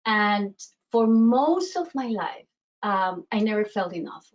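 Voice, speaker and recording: A woman's voice: medium pitch, expressive, takes it slowly, muffled recording. A woman from Colombia with a Colombian Spanish accent, giving a coaching webinar presentation with many fillers and restarts. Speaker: self-worth coach.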